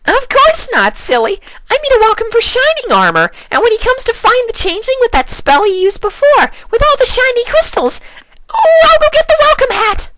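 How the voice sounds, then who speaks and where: Hyperactive girl cartoon pony, squeaky high, wildly animated, bubbly, bouncy bursts. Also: moderate pace, slight background noise, exaggerated voice. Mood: giddy. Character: hyperactive, bubbly, excitable young female party pony.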